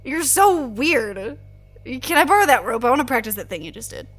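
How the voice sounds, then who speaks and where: A woman playing a brash, curious young coworker in an audio drama, blunt, teasing and excitable. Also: high voice, normal speed, faint music behind, full character voice.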